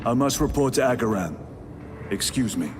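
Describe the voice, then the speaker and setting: An actor playing a stoic, seasoned space soldier in a video game, formal, terse and grave. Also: male voice, mid-range voice, lively intonation, moderate pace, noisy background, natural voice. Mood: composed, formal. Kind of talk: dramatic video game acting.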